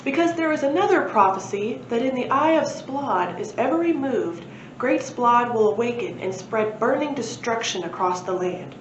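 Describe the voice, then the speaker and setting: A woman's voice: a little high, lively intonation, moderate pace, close to an everyday voice. A woman voicing an anxious little thin man who gives solemn warnings in a storybook, in a roomy recording. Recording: roomy, dull lo-fi, faint noise.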